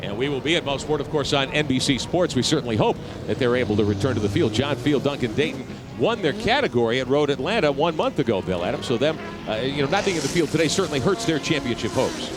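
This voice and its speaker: A man calling a sports car race as lead announcer, brisk and handing off to his reporters. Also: medium pitch, music in the background. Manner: lively, brisk, hands off to reporters. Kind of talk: live play-by-play commentary.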